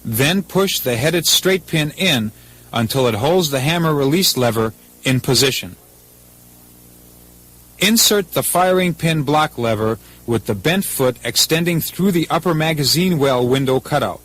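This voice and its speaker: A man's voice: fairly high pitch, lively intonation, a little slow, a bit bright, poor audio. A man with an American accent reading scripted instructions as a 1980s military training film narrator, in a formal, methodical, impersonal manner.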